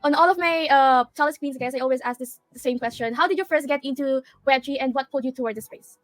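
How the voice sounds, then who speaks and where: A woman's voice: sits high, fast talker, no echo, soft background music. A woman hosting a livestream interview, bubbly and laughing often as she asks questions. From Philippines. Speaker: livestream host.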